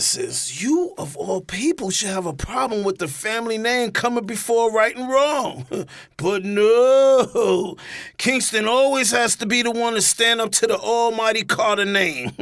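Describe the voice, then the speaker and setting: An actor playing a drunk, defiant, protective brother in an audio drama, slangy, profane and taunting. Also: male voice, high voice, expressive, a little slow, gravelly, fairly bright tone, no echo, studio quality, full character voice. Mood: bitter, mocking. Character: hot-headed, drunk, defiant adult son and protective brother.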